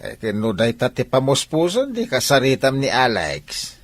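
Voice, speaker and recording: A man's voice: mid-range voice, huge pitch swings, normal speed, no room sound. A Filipino actor playing an older father, a hesitant, conspiring advisor in a radio soap opera. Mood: musing.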